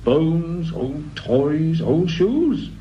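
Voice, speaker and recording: An actor playing a haughty, evasive British research director in a radio drama, speaking in a formal, condescending way. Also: male voice, on the high side, big pitch swings, drawn-out words, heavily acted. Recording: dull radio recording with faint noise.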